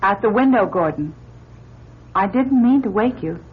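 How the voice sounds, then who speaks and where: A woman's voice: mid-range voice, lively intonation, normal speed, husky. An American woman acting in a radio drama as an anxious, emotional young astronaut. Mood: subdued. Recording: muffled, noisy old radio recording.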